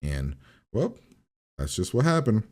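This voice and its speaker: A man's voice: lowish voice, wildly swooping pitch, unhurried, darkish tone. A man hosting a reaction video, giving a casual, informal review and rambling as he repeats and revises his points.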